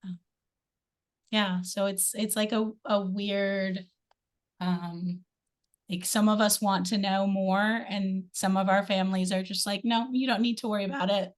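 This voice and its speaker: A hoarse woman taking part in an online discussion, speaking hesitantly with many fillers. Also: medium pitch, steady tone, unhurried. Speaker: participant. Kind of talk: discussion question.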